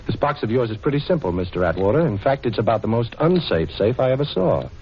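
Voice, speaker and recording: A man's voice: mid-range voice, sing-song, fairly quick, slight background noise. A man acting a cool, wisecracking amateur sleuth in a 1940s radio drama, breezy, teasing and commanding, with an American accent. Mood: teasing, confident. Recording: muffled 1940s radio broadcast recording.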